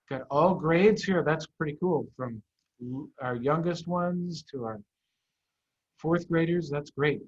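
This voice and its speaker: Husky man reading to children. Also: on the high side. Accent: American. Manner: animated, emphatic storytelling with dramatic pauses. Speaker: head of school reading to children. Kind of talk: story time read-aloud for young children. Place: online video call. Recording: dull, muffled online video call.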